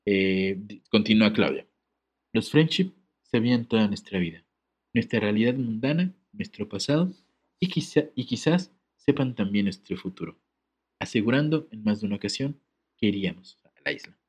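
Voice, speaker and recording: A man's voice: on the low side, expressive, normal speed, husky, dull audio. A man co-hosting a podcast, reading a letter aloud and breaking off into jokes and laughter. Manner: joking, laughs often, reads with comic asides. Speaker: podcast co-host.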